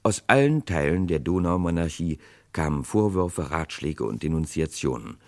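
An actor narrating a 1960s radio crime play as a grave chronicler, with dramatic, deliberate delivery. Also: male voice, rumbling low, lively intonation. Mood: grave, suspenseful. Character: grave male chronicler of a historic murder case.

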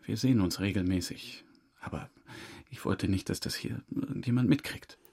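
An actor playing an evasive, embarrassed suspect in a radio drama, speaking haltingly and defensively in a husky voice. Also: male voice, low-pitched, darkish tone, exaggerated voice. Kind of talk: radio drama interrogation dialogue.

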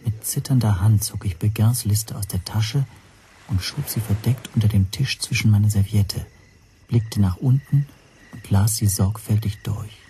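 An actor playing an observant, nervous hotel guest who narrates his own story in a radio drama, measured and precise. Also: fairly low pitch, mellow, faint music behind, close to an everyday voice. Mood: uneasy. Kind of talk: scripted first-person radio drama narration.

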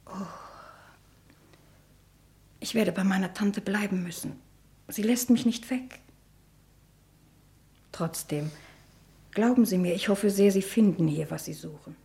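An actress playing a timid, naive, sheltered niece who speaks shyly and hesitantly, in the style of a 1960 German radio play. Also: female voice, mid-range voice, a little slow, long pauses, dark tone, heavily acted. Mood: resigned, wistful. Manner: hesitant, breathy, shy.

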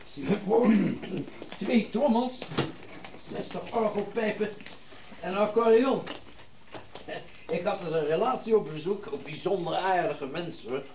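An actor playing a wealthy, self-important businessman and collector in a Dutch radio drama, brisk and commanding. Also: sits high, a little mellow, a little hiss or hum. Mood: proud.

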